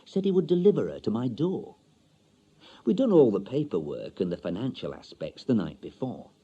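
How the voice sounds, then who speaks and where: An actor playing a chatty, wistful older Yorkshire bachelor in a radio monologue, colloquial, confiding and droll. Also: male voice, mid-range voice, sing-song, normal speed, dark tone, husky, highs cut off. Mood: wry, confiding. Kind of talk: dramatic monologue, radio short story.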